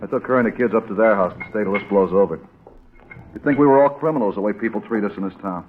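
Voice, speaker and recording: An actor playing a troubled working-class man ashamed of his brother, speaking plainly and haltingly in 1950s radio drama style. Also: fairly low pitch, natural voice. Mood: weary, aggrieved. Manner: plain-spoken, halting, heavy-hearted. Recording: dull vintage radio recording.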